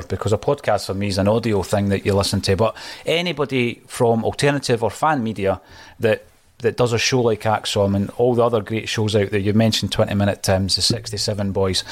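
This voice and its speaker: A man hosting a football podcast, speaking in an emphatic, opinionated way. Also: on the low side. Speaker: podcast host. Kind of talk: casual chat.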